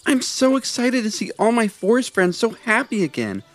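A man voicing an excited young male squirrel in a storybook reading, chatty and eager. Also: extremely high pitch, normal speed, soft background music, pitched above the performer's own voice, heavily acted.

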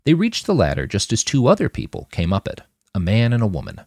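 Deep guy reading his fiction podcast, super varied intonation, really dry recording. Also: huge pitch swings, fast, a little mellow, husky, dry recording. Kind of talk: expressive scripted audiobook narration.

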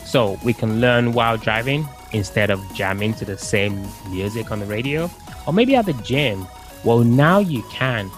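A man hosting an interview podcast, speaking to guests and listeners. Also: medium pitch. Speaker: podcast host. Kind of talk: podcast interview and scripted promo.

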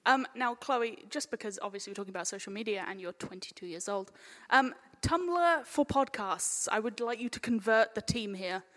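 A woman's voice: on the high side, lively intonation, normal speed, few pauses, fairly bright tone. A woman chairing a live panel in an informal, playful way. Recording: echoey hall with long reverb.

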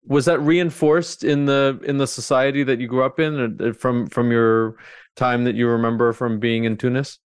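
A man hosting an interview, asking calm, reflective questions and murmuring agreement. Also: medium pitch, moderate pace, clean tone, no echo, clean studio sound. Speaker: interviewer host.

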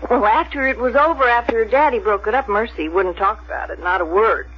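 A woman's voice: a little high, fairly quick, slight background noise, close to an everyday voice. An actress playing a plain, middle-aged small-town woman, hesitant and wistful as she reminisces in a 1970s radio drama. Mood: nostalgic. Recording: dull vintage 1970s radio recording.

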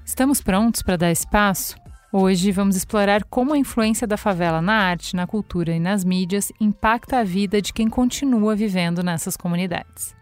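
Uma mulher brasileira, co-apresentadora de podcast, que conduz as perguntas de forma pausada. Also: female voice, mid-range voice, soft background music. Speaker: podcast co-host. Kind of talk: podcast conversation.

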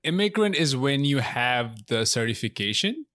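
A man's voice: medium pitch, sing-song, normal speed, fairly bright tone, no room sound, studio quality. A man from Bangladesh, a comedian guest, chatting on a podcast over a call in an upbeat, giggly, rambling way. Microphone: remote call.

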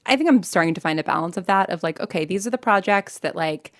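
A woman's voice: on the low side, animated, fast talker, dry recording, studio quality. A woman talking casually and candidly as a podcast creator being interviewed, often quoting imagined remarks.

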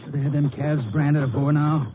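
Radio western rancher, played by a man: rustic American Southern drawl, teasing, higher-pitched, muffled vintage sound. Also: little pitch movement, moderate pace, close to an everyday voice. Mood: urgent. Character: folksy Texas rancher husband, teasing, stubborn. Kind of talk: 1950s radio western acting. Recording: noisy muffled old radio recording.

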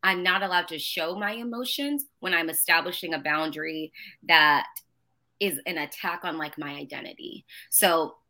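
A woman talking in a casual conversation, passionate and emphatic about everything. Her voice is bright and forceful yet sits fairly low. She speaks as an educator sharing her own experience. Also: normal speed.

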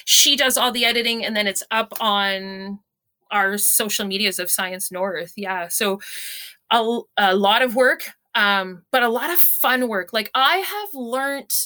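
A woman with a loud voice, chatting in an animated, jokey and self-deprecating way as an interview guest. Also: mid-range voice, measured pace. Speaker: interview guest, science communicator. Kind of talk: animated casual chat, telling anecdotes.

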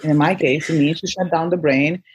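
A woman whose first language is Portuguese, talking in an easy, animated way. Her voice is husky and sits fairly low. She restarts sentences often as she goes. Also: fairly quick, dull audio. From Angola. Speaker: guest coach. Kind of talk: casual conversation.

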